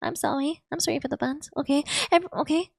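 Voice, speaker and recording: A woman's voice: high-pitched, steady tone, fast talker, creaky, a little mellow, dry recording, clean studio sound. A woman game streamer giving live reaction commentary in a gushing, exclamatory, emotionally invested way. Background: game music.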